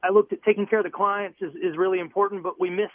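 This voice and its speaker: A man's voice: high voice, little pitch movement, quick pace, a little hiss or hum. A man from Southwest Missouri, a real estate broker, answers radio interview questions in an upbeat, earnest and plainspoken way over the phone. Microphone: telephone. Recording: tinny phone line.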